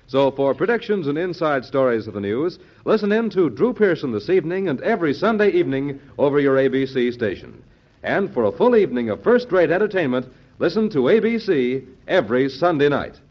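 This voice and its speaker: A man with an American accent reading scripted announcements in a 1940s network radio style. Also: on the high side. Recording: dull old radio recording.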